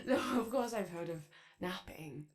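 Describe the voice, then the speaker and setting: A woman's voice: medium pitch, expressive, takes it slowly. A woman playing a shy, chatty animatronic daycare attendant in a roleplay ASMR, rambling tentatively and trailing off. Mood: awkward, welcoming. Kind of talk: roleplay ASMR, improvised-sounding casual chat.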